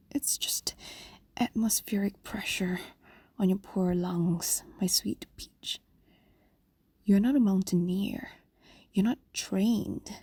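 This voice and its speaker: Casting: actress for a doting, possessive fox spirit in fantasy ASMR drama; soft mellow tone, breathy coaxing whisper, soothing and ageless. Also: female voice, medium pitch, no room sound, exaggerated voice. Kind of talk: intimate fantasy audio roleplay, ASMR.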